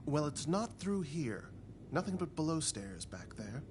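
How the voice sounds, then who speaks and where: A man's voice: fairly high pitch, big pitch swings, unhurried. A man voicing a friendly, gossipy servant in a cartoon video game, chatty, confiding and folksy. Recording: clear ambient noise behind.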